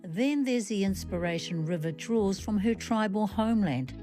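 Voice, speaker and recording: A woman TV reporter reading scripted narration in a clear, measured way. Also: medium pitch, expressive. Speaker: TV reporter and interviewer. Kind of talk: scripted TV narration and interview questions. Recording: music bed under narration.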